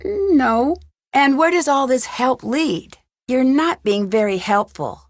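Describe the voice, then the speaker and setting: A woman's voice: high-pitched, animated, slow, no room sound, clean studio sound, full character voice. An actress voicing a curious, composed young female detective in a video game, asking polite but pointed questions. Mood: curious, questioning.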